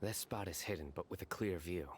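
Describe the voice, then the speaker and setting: An actor playing a calm, sensible companion in a video game, measured and thoughtful. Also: male voice, deep voice, a little hiss or hum, lightly acted. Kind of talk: video game character acting.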